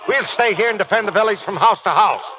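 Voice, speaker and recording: Man acting a gruff commanding sergeant, high-pitched for a man, folksy order-barking, 1940s radio play, muffled noisy recording. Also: fast talker, natural voice. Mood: brisk command. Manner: barks orders in plain, folksy soldier talk. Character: gruff, commanding ex-Marine sergeant defending a village.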